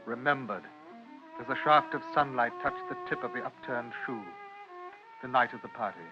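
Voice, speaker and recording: Man as measured narrator over music. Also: medium pitch, natural voice. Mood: reflective. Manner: measured, poetic, fragmentary phrasing. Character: reflective narrator recalling a young man's memories. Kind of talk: scripted literary narration for 1950s radio drama. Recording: muffled old radio recording.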